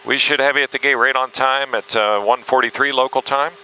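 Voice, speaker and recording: A man speaking as an airline captain, making halting announcements over an aircraft cabin PA. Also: mid-range voice, steady tone, pushed and bright, rough recording. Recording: aircraft cabin PA, engine noise.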